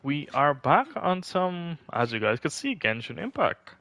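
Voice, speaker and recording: Guy streamer chatting slow, kinda bright tone, dry mic, game music low. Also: big pitch swings, no echo, dull audio. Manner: rambling, self-correcting. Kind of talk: casual livestream chat.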